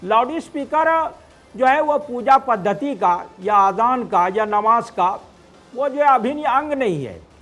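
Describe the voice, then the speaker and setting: Very high-pitched man, halting cleric explaining. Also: lively intonation, fairly bright tone, soft background music. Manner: halting, wordy, heavy repeated verbal fillers. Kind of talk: explanatory interview answers.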